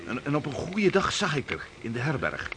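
An actor playing an excitable sailor who tells a yarn in a Dutch radio play, stammering and repeating his words. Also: mid-range voice, brisk, background noise. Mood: spellbound storytelling. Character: excitable sailor spinning a yarn about a bewitching woman.

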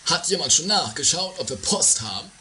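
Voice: medium pitch, animated, a little fast, sharp tone, slight background noise. A performer playing a hungry, fussy, melodramatic housemate in a comedy radio play, a little hesitant. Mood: indignant. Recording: 1980s homemade radio play, faint music.

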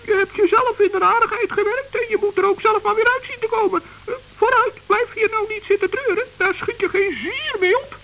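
A small, timid forest gnome frets and stammers, voiced by a woman. His voice is very high and light. He mutters worried pep talks to himself. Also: a little fast, gravelly, fairly bright tone, lo-fi sound, slight background noise, full character voice. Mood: dismayed. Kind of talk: 1960s children's radio play acting.

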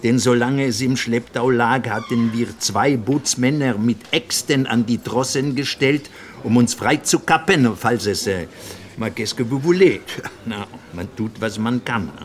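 A man's voice: mid-range voice, a little slow, a little hiss or hum, natural voice. An actor playing an older French naval officer in a radio drama, with a French accent, searching for words and slipping into his native French. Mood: reminiscing, halting. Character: elderly French naval officer, talkative, worldly, halting memory.

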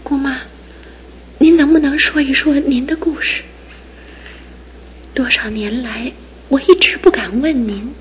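A woman's voice: high voice, unhurried, long pauses, noisy background. An actress playing a young woman facing her fiancé's lasting injury, earnest and tearful, in a radio drama. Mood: hushed. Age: young adult.

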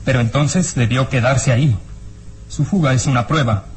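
An actor playing a sober, sceptical police inspector in a radio drama. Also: male voice, mid-range voice, normal speed, faint music behind, natural voice. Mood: serious, questioning.

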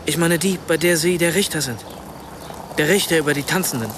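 A male actor plays an earnest writer full of self-doubt, his voice on the high side. He picks his way through sentences hesitantly, apologetic yet probing, in naturalistic radio drama dialogue. A faint rumble of vehicles sits behind him. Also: brisk, close to an everyday voice. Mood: earnest, urging. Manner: hesitant, self-interrupting, searching for words.

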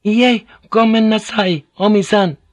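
A man voicing a polite yet resolute Japanese woman in an audiobook story, with a thin voice and brief, steady phrases. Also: high voice, takes it slowly, dry recording, voice pushed up.

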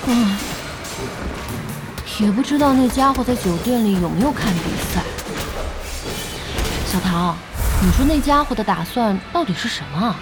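A woman playing an excitable, impatient young e-sports fan in an audio drama, chatty and exclamatory. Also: mid-range voice, normal speed, pauses often, over music, natural voice. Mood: pensive.

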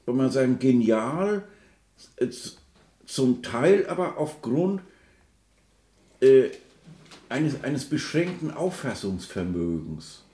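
A man with a mid-pitched voice gives an informal spoken commentary. He proceeds haltingly, searching for the right word and looking for agreement as he explains. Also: very animated, warm tone.

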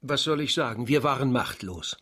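A sober physician of old Paris, with a medium-pitched voice. He talks formally and courteously, with a resigned gravity suited to a period radio mystery. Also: lively intonation, normal speed, sharp tone, husky. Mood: resigned, guarded. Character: sober, resigned hospital doctor in 17th-century Paris. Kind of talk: German radio crime drama acting.

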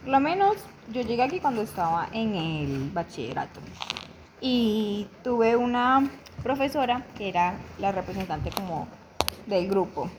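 Young woman, mid pitch, reflective and halting interviewee, light background hiss. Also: very animated. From Venezuela. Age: young adult. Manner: reflective, halting, with frequent restarts. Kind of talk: personal testimony interview.

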